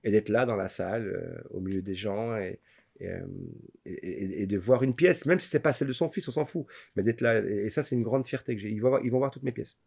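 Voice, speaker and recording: Low-voiced man, reflective. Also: fairly quick, vocal fry, a little mellow, slightly rough. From Belgium. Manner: reflective, many restarts and fillers, builds lists. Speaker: guest, playwright. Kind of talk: candid podcast interview.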